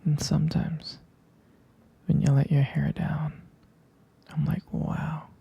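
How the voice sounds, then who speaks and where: A man speaks in a tender, adoring boyfriend ASMR murmur, slow and very soft. His voice is extremely breathy and husky, slipping into whispers, with heavy vocal fry running through it. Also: a little high, completely flat delivery, muffled recording. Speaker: ASMR roleplay creator. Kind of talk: intimate boyfriend roleplay ASMR.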